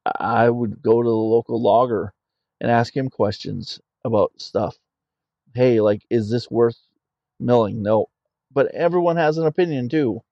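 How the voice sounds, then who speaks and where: A man's voice: medium pitch, a little slow, darkish tone, no room sound, muffled recording. A middle-aged man, an army veteran guest on a podcast, talking in a plainspoken way and telling stories. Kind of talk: conversational interview.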